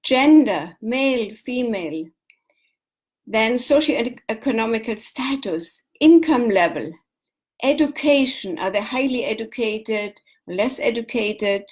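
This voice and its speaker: A woman with a German accent hosts a live business talk show, her voice pitched a little high. She lays out her advice in lists and repeats key points as she teaches. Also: expressive, slow pace, slightly rough. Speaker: show host, business coach.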